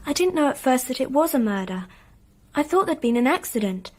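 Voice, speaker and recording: Dreamy young girl in a radio play, high voice, simple and earnest. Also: big pitch swings, brisk. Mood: earnest, recounting.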